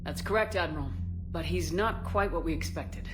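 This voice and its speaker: A woman's voice: on the low side, moderate pace, lightly acted. Game lead: woman playing composed, direct military commander, resolute, music underneath. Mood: businesslike. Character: resolute military commander, human space hero. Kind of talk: video game voice acting.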